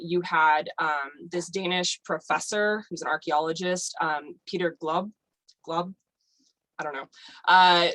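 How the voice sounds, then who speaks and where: A woman explains a subject on a podcast, slightly low in pitch. She rambles with plenty of fillers and restarts. Her voice sits dry and close with no room around it. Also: a bit bright, no room sound, low-quality recording. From United States. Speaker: guest. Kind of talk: casual podcast chat.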